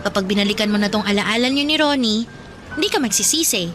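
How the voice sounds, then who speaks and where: An actress playing a lively, teasing teenage cousin who coaxes and bosses her shy cousin in a radio drama. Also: mid-range voice, fast talker, lightly acted. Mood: coaxing. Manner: lively, coaxing, bossy. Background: music underneath.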